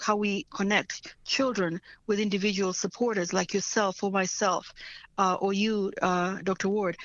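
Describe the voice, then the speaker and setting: A woman's voice: mid-range voice, fairly bright tone, no room sound, lo-fi sound. A woman from Africa, a nonprofit leader, answering interview questions earnestly and often checking in with "right?".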